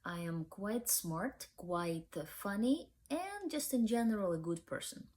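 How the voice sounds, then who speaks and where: A woman's voice: mid-range voice, lively intonation, a little slow, darkish tone. A young woman with a Ukrainian accent hosting a book review channel, speaking hesitantly with many fillers. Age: young adult.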